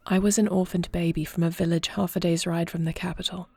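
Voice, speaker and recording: A woman plays an earnest fantasy princess who leads in war. Her voice is low and mellow with a gravelly edge, and she speaks formally and solemnly, as in a scripted audio drama. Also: little pitch movement, no room sound. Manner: formal, solemn, deliberate phrasing. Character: young adopted princess and war leader, earnest, measured, regal. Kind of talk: scripted audio roleplay acting.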